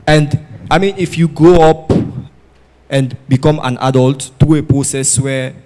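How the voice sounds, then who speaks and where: A man's voice: fairly high pitch, fairly even intonation, a little slow, mellow. Sierra Leonean man speaking in an echoey hall. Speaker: audience participant, former consultant. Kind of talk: sharing experience at a conference. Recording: echoey hall, faint noise.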